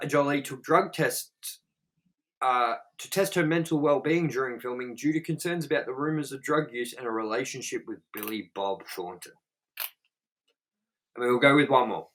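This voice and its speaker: A man's voice: medium pitch, a bit bright, poor audio. A young man co-hosting a movie review show. He reads out trivia and rambles bluntly, swearing and filling his talk with "like.". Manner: rambling, profane, blunt, with many "like" fillers. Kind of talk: casual chat reading out movie trivia.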